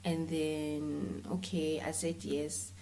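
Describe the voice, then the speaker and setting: A woman with a South African accent and a slightly low voice. She chats informally and in an animated way as a guest in a relaxed interview, switching between languages. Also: takes it slowly, creaky. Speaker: interview guest, filmmaker and content creator. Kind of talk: casual conversational interview, code-switching.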